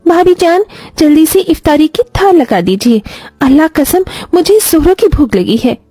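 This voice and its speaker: Woman voicing a high-pitched, childlike teenage girl. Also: very animated, normal speed, warm tone, no echo, faint music behind. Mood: impatient, hungry. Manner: eager, childlike pleading. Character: young, naive, wistful hungry teenage sister-in-law.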